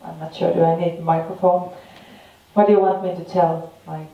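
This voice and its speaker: A loud, frank speaker talking off the mic at a film Q&A. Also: medium pitch, flat delivery, moderate pace, gravelly, muffled recording. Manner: loud, frank, laughs easily. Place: cinema hall. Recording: echoey cinema hall from far off.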